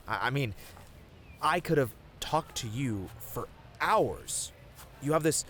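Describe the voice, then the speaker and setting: A man playing a sweet, bashful boyfriend in a romantic ASMR roleplay, talking tenderly in a flustered, halting way. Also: medium pitch, a little hiss or hum. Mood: earnest, apologetic. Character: sweet, bashful, rambling boyfriend on a picnic date.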